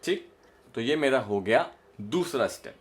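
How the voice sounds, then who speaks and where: A man's voice: medium pitch, expressive, a little slow. A man with an Indian accent explains like a voice coach, stressing points and often checking that the listener follows. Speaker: voice modulation coach. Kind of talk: instructional explanation to camera with demonstrations.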